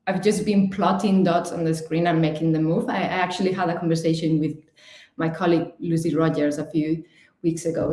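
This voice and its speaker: A woman's voice: lowish voice, roomy sound. A young woman with a Spanish accent giving a chatty, slightly nervous talk as a guest speaker. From Spain. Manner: chatty, nervous, lively with fillers and restarts. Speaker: guest speaker, digital designer. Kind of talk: online talk presentation.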